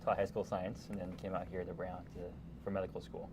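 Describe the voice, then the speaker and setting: Male interview guest, mid-twenties, from California, low voice, quick conversational delivery, noticeable background noise. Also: level tone. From California, United States. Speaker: interview guest, congressional candidate.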